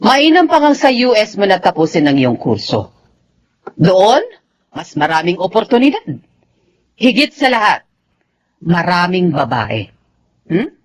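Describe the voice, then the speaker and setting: A woman's voice: lowish voice, big pitch swings, measured pace, long pauses. A woman playing a cold, controlling middle-aged mother with a Filipino accent, commanding and haughty in radio drama style.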